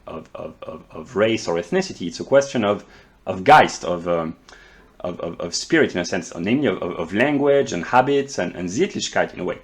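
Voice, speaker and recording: A man from France with a French accent, speaking English as a second language in an unscripted philosophical monologue, often stammering and repeating words as he searches for terms. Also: fairly low pitch. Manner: measured, explanatory, professorial. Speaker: philosophy commentator.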